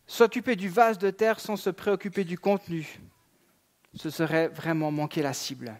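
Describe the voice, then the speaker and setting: A high-voiced man in his thirties delivers a church sermon. He keeps it conversational, mixing fillers and relaxed asides into his preaching. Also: moderate pace. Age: 30s. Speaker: pastor. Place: church sanctuary.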